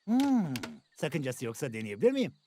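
Very animated, friendly carpenter Andy. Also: female voice, deep voice, normal speed, very noisy. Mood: curious, helpful. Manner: welcoming, encouraging, animated. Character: friendly carpenter named Andy, welcoming and helpful. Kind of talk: animated children's cartoon dub.

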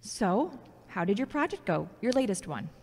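A woman's voice: medium pitch, big pitch swings, moderate pace, a little mellow, breathy edge. A woman playing a touchy, ambitious grown daughter in an audio drama, speaking in a dry, defensive way. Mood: determined, dry. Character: Charity, touchy, ambitious grown daughter, radio station worker. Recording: long echoey reverb.

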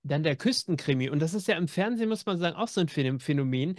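Higher-pitched man chatting, dry close sound. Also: animated, fast, a little mellow, no room sound. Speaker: co-host of an audio drama news show. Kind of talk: casual chat presenting new releases. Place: home room with packed shelves, on a video call.

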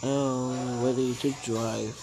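A woman's voice: a bass voice, little pitch movement, slow pace, poor audio. A woman improvising a cartoon story, rambling playfully and switching into character voices. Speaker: hobby animator narrating and voicing characters. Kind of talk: improvised cartoon play-along narration.

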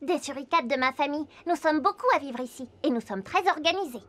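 High-voiced, earnest young boy meerkat. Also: fairly quick, a bit bright. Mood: friendly, proud. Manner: earnest, explanatory. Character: cautious, friendly, proud young male meerkat. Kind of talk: preschool cartoon dialogue.

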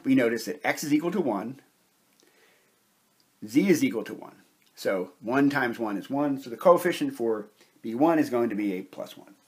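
Guy teaching chemistry step by step, bit husky, medium pitch, methodical. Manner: methodical, explanatory. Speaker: chemistry instructor. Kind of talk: step-by-step whiteboard explanation.

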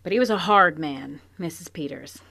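An actress playing a plain-spoken, regretful middle-aged farm wife in a live audio drama, with earnest period rural speech. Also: female voice, low-pitched, moderate pace, vocal fry, sharp tone, no echo, heavily acted. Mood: somber. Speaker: Mrs Hale. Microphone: home microphone in a closet.

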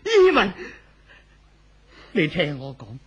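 A man acting an anxious, helpless husband in a 1970s radio drama, halting and imploring, in Hong Kong Cantonese. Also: high voice, wildly swooping pitch, fairly bright tone, dull audio, slight background noise, full character voice. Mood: desperate pleading.

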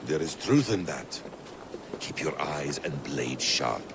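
A man acting a calm, shrewd warrior counsellor in a video game, measured and grave. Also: very deep voice, very noisy, exaggerated voice. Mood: wary.

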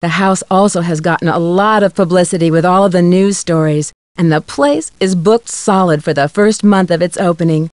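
A woman's voice: fairly low pitch, normal speed, few pauses, a little mellow, no room sound, natural voice. An actress playing a curious, upbeat young woman detective in a video game, with an inquisitive, scripted delivery. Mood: cheerful recounting. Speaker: game protagonist.

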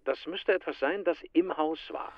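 An actor playing a taciturn, gruff forensic technician in a crime radio drama. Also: male voice, on the high side, normal speed, muffled recording, close to an everyday voice. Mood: terse, grim.

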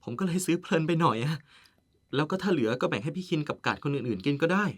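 Fast-talking, husky, caring husband. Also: male voice, fairly high pitch, expressive. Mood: chatty. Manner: polite, chiding, teasing. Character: caring, nagging, playful male partner of an older man. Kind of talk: Thai audio drama acting.

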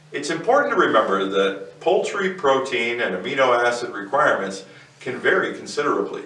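A man in his forties, seated co-host, giving a scripted educational explainer in a steady, measured way. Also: medium pitch. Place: indoor studio set with barn-wood backdrop. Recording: roomy echo, distant mic, faint noise.

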